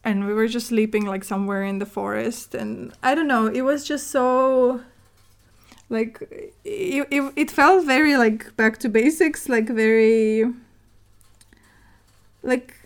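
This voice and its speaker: A woman's voice: fairly high pitch, unhurried. A young woman from northern Russia on a podcast, chatting casually from her home studio with lots of filler words and restarts. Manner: rambling, many "like" fillers and restarts, laughs often. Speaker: podcast co-host. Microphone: floor mic stand.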